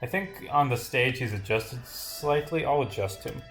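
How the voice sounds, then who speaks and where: A man's voice: medium pitch, normal speed, fairly bright tone, faint music behind. A man streaming as a game developer, giving casual, sweary commentary as he tests and reads through a game. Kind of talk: livestream playtest, reading game dialogue aloud with casual commentary.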